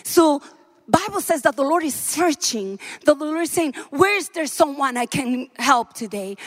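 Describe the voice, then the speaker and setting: Fervent high-voiced woman preaching. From Dominican Republic. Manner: fervent, rising to shouts. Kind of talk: sermon. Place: church sanctuary.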